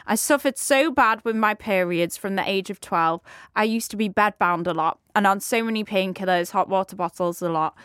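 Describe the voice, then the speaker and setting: A woman's voice: mid-range voice, moderate pace, fairly bright tone, clear, resonant tone, no room sound, studio quality. A British woman in her thirties, co-hosting a podcast and reading out listener messages in a blunt, teasing way. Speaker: podcast co-host.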